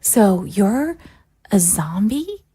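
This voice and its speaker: A woman's voice: medium pitch, big pitch swings, extremely slow, dark tone, slightly rough, heavily acted. An actress playing a timid, apologetic young female recruit, hesitant and kind, in an audio drama. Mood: shy curiosity. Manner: hesitant, meek.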